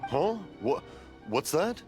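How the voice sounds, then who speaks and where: An actor playing a cheerful, half-deaf old fireworks craftsman in a video game, rambling in an aged, wavering voice and slow to catch on. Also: a little high, sing-song, loud music behind the voice. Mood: cheerfully confused. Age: older.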